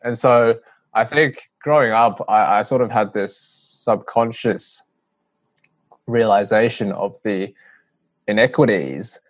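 A young adult man with an Australian accent, answering interview questions in an earnest, measured way with frequent restarts. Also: fairly low pitch, no room sound. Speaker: guest, CEO of a youth-led charity. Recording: dry, slightly muffled audio.

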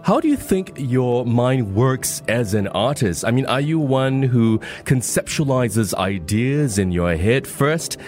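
A man's voice: medium pitch, lively intonation, takes it slowly, few pauses, darkish tone, soft background music. A man hosting an evening radio phone-in interview, polished broadcast delivery with frequent "you know". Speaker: radio show host.

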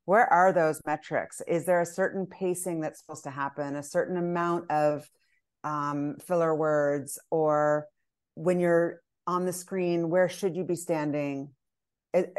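Low-voiced curious woman interviewer. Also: normal speed. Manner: curious, rambling questions. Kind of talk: conversational interview.

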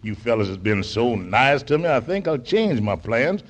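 An actor playing a nosy, blunt older uncle in a 1940s radio comedy, asking questions in folksy, ungrammatical speech. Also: male voice, medium pitch, expressive, normal speed. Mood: cheerful. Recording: muffled, noisy 1940s radio recording.

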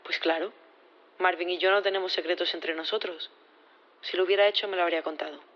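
A woman's voice: on the low side, steady tone, fast, dull audio, lightly acted. An actress playing a loyal, earnest woman witness in an audio drama, insistent and repeating her words as she defends her partner. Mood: earnest, defensive.

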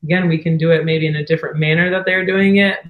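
A woman's voice: low voice, steady tone, fast, echoey room, phone-quality sound. A woman guest who is losing her voice, speaking in an earnest, heartfelt, faith-driven way about running a wedding venue. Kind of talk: earnest interview talk.

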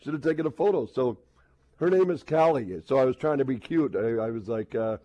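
A man's voice: medium pitch, lively intonation, brisk, muffled recording. A man with an American accent hosting casual sports radio banter, rambling chattily and acting out little quoted exchanges.

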